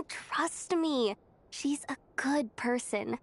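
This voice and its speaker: An actress playing a young, trusting female underling in a video game cutscene, casual and expressive in her delivery. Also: sits high, extremely slow, a little hiss or hum, exaggerated voice. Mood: exasperated, defensive.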